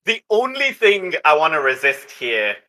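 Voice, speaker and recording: A man playing a tabletop roleplaying game, talking through his moves casually but with deliberate, somewhat formal phrasing. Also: on the high side, very animated, pushed and bright.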